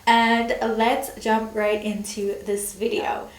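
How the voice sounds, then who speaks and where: A woman's voice: fairly high pitch, flat delivery, takes it slowly, reverberant. A young woman hosting her own channel, chatting casually with lots of fillers, her voice nasal and congested from a cold. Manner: chatty, many fillers, laughs between words. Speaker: channel host. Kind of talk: casual chat to camera.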